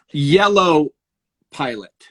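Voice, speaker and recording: A man with a slightly high voice hosts a casual livestream raffle. He talks haltingly, thinking out loud as he works through small tasks, and the sound is close and dry. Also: animated, no room sound. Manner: halting, thinking aloud while doing tasks. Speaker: live raffle host.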